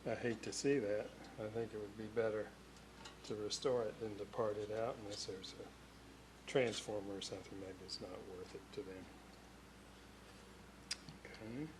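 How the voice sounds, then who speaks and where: A man narrates hands-on restoration work casually, in short unhurried phrases muttered as he goes. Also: on the low side. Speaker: radio restorer hosting a repair video. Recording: clearly noisy workshop recording with clicks.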